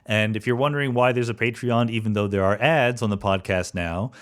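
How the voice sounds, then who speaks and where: A man's voice: lowish voice, brisk, fairly bright tone. A man hosting a podcast, talking in a conversational interview style. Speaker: podcast host.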